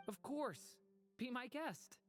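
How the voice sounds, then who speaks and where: A woman voicing a gentle, earnest young boy dragon in human form in a video game, sincere and soft-hearted. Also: high-pitched, expressive, takes it slowly, soft background music. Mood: worried, earnest. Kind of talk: video game character dialogue.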